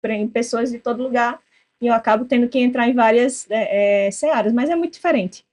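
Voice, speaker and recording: A woman from southern Brazil with a southern Brazilian accent, hosting a casual interview and telling lively stories that act out quoted dialogue. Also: a little high, fairly quick. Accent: southern Brazilian Portuguese. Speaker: podcast host.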